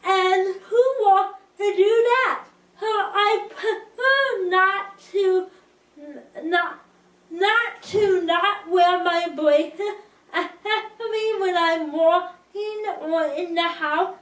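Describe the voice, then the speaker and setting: A young woman in her late teens or twenties, speaking slowly and carefully to her viewers, often restarting her sentences. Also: extremely high pitch, a bit bright, roomy sound, far from the mic. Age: young adult. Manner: slow, careful speech with restarts. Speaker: vlogger. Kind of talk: casual talk to camera.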